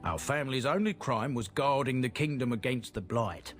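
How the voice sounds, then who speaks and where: A man plays a proud, talkative fantasy game trader with a medium-pitched voice. He speaks earnestly in folksy, turned-around phrases, a man keen to restore his family's honour. Game music is clearly audible behind him. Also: animated, moderate pace, natural voice. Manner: folksy, chatty, inverted phrasing. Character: male merchant of a proud disgraced family, earnest, chatty. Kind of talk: fantasy video game dialogue.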